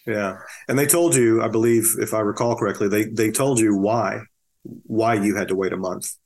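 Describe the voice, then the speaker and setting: A man's voice: fairly low pitch, fairly even intonation, moderate pace, a little mellow. An American man conducting a conversational oral history interview, asking careful questions as he goes.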